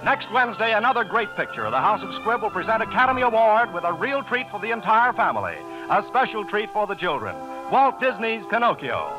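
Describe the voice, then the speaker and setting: A man's voice: sits high, very animated, a little fast, hardly any pauses, low-quality recording. An American man announcing from a script in the formal style of 1940s radio. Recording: old 1940s radio broadcast, faint music.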